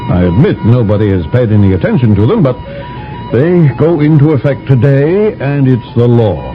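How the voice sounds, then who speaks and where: A man's voice: medium pitch, animated, normal speed, close to an everyday voice. An actor playing an officious male starship commander in a 1970s radio drama, lecturing about rules. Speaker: ship commander. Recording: dull old radio broadcast with music.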